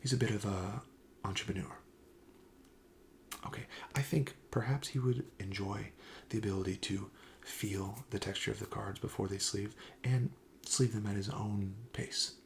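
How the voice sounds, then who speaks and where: Low, creaky, soft-spoken ASMR man. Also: fairly even intonation, moderate pace, a little hiss or hum. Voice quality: soft, breathy half-whisper. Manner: gentle, polite, attentive salesman. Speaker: ASMR host playing a card shop clerk.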